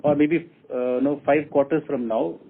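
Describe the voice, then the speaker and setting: Indian executive on a phone line. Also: male voice, on the high side, fairly even intonation, vocal fry, breathy edge. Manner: deliberate, repeats and enumerates points. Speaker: company executive answering questions. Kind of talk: corporate earnings call answers. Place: telephone conference call. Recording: muffled phone line with faint noise.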